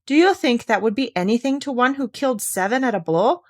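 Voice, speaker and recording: A woman's voice: on the high side, lively intonation, fairly quick, a little mellow. A woman voicing a small, clever, boastful male tailor in a storybook tale, breezy and sure of himself. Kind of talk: read-aloud fairy tale.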